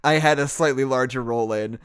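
A man's voice: mid-range voice, brisk, noisy background. A young man hosting a podcast in a casual chat, rambling and joking at his own expense. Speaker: podcast host.

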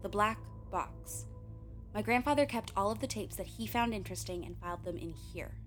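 A woman's voice: medium pitch, normal speed, close to an everyday voice. A woman playing a candid, wry, uneasy young filmmaker who narrates a radio play in a confiding way, with tangents and swearing. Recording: music behind the voice.

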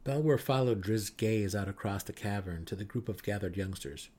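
A man's voice: on the low side, normal speed, mellow. A man giving a dramatic narration of a fantasy novel as an audiobook reader. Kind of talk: dramatic reading of fantasy fiction.

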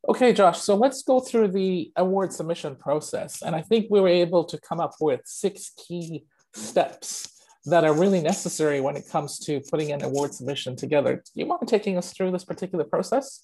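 A man hosting a webinar, hoarse as his voice recovers from a throat infection, talking directly to his audience in an upbeat way. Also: fairly high pitch, animated, fairly quick, mellow, poor audio. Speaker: webinar host. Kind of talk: webinar presentation.

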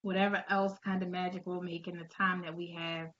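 A woman's voice: fairly low pitch, flat delivery, fairly quick, fairly bright tone. Slightly lo-fi recording of an upbeat woman host, chatty interview style, encouraging. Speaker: show host. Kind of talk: conversational interview hosting.

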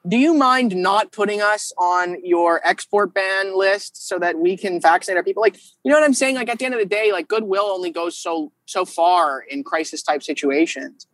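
A man's voice: high voice, normal speed, hardly any pauses, a bit bright, no echo, rough recording. A Canadian man speaks as a podcast guest in loose, conversational political talk. He is emphatic and argumentative, slipping into imagined exchanges to make his case. The sound is dry, with very little room. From Quebec, Canada. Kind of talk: casual, opinionated political conversation.

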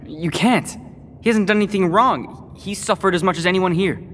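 A man's voice: high-pitched, moderate pace, fairly bright tone. Earnest, defiant teenage boy, reverberant. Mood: protesting. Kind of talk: sci-fi audio drama acting. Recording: long reverb, faint noise.

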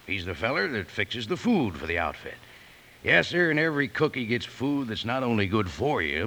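A man's voice: medium pitch, pushed and bright, exaggerated voice. A man playing a folksy, hearty cowboy ranch cook giving chummy sales patter in an old radio advertisement. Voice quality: gravelly.

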